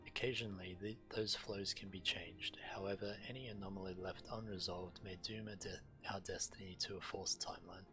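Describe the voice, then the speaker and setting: A male game streamer reads quest text aloud in a low-pitched voice. Game music is clearly audible behind him. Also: fairly even intonation, a little fast, few pauses.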